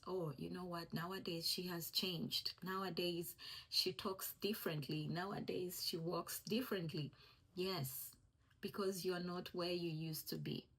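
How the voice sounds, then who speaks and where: A woman's voice: lowish voice, slightly rough, rough recording. A young woman from East Africa, a Swahili speaker, giving an encouraging faith-based vlog talk in a conversational way that repeats its key phrases. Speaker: vlogger.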